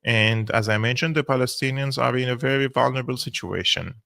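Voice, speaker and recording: A man's voice: mid-range voice, a little fast, gravelly, pushed and bright, no room sound, clean studio sound. A man who is a native speaker of Arabic and Armenian, giving live political commentary as a host and analyst, explaining his points emphatically.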